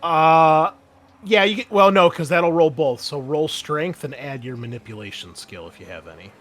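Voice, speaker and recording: Halting man running a tabletop game. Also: on the high side, a bit bright. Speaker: game master. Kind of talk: improvised tabletop game narration, casual.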